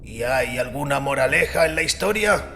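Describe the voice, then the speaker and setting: A man playing a devout, defensive priest who argues earnestly in a gravelly voice, in a dramatized audiobook. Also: medium pitch, lively intonation, moderate pace, bright, forceful tone, a little hiss or hum. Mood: skeptical curiosity. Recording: long reverberant hall with music behind. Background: music.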